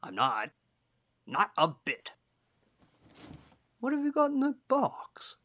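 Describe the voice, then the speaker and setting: A woman voicing a gruff, outspoken old male farmer in an audiobook, blustery and blunt but kindly. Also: mid-range voice, wildly swooping pitch, slow pace, fairly bright tone, heavily acted. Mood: irritable. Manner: blustery, blunt, folksy exclamations. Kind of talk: audiobook character dialogue.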